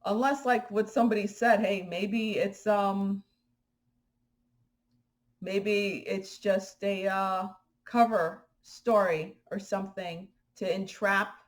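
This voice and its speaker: A woman from Haiti talking casually to her viewers in a vlog, animated and indignant, with fillers and restarts. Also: mid-range voice, slow pace. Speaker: spiritual vlogger. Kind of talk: casual spoken commentary to viewers.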